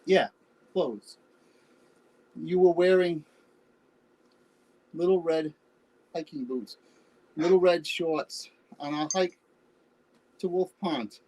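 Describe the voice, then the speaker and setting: A man acting the part of a teasing, sentimental partner in a loving couple in a play reading, with halting, bantering delivery. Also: fairly high pitch, a little mellow, rough recording. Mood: fond reminiscing. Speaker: actor in a staged reading. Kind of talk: naturalistic stage play reading. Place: remote virtual reading over video call.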